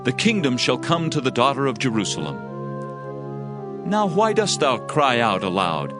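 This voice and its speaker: An actor playing a fervent, solemn prophet in a dramatized scripture reading, declaiming judgment and hope. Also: male voice, mid-range voice, big pitch swings, moderate pace, natural voice. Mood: solemn, exalted. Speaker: Bible narrator. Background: music.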